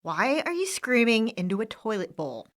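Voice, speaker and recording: A woman's voice: fairly high pitch, big pitch swings, moderate pace, a bit bright. A woman playing a contemptuous, mocking school bully in a comedic audio drama. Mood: mocking, menacing.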